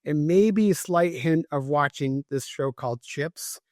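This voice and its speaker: A man's voice: fairly high pitch, a little slow, a little mellow, no echo. Reflective middle-aged man, dry sound. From Cambridge, Massachusetts. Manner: reflective, tags points with "right?". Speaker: guest, former FBI agent and CEO. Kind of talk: conversational podcast interview.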